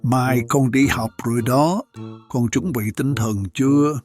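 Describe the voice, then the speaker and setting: A woman voicing a gentle elder relative in a cartoon family scene. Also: a bass voice, unhurried. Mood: gentle, concerned. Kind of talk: animated cartoon dialogue. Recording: loud music behind the voice.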